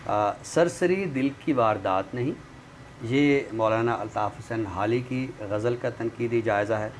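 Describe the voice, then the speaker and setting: Male literary commentator, mid-pitched, formal and measured delivery, some background noise on the recording. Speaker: literary commentator and guest expert. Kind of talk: literary talk and book review with some poetry recital. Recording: clearly noisy background.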